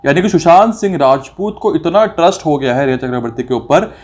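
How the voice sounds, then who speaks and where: Male commentator, voice a bit high, indignant and accusatory, rhetorical, drops in English phrases. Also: expressive, fairly quick, a little mellow. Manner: mixes English phrases into speech. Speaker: video commentator. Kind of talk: opinionated commentary on a recording.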